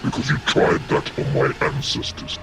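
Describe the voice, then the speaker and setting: A man playing a menacing, arrogant alien monster in a sci-fi audio drama, with a growling, gravelly voice. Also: mid-range voice, completely flat delivery, normal speed, heavy background noise. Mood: threatening, gloating. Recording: loud music over the voice.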